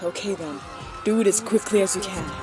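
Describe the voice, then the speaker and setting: Pony princess, played by a woman: mid-pitched, urgent and authoritative, fantasy audio drama, music underneath. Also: fairly quick, lightly acted. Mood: urgent, commanding.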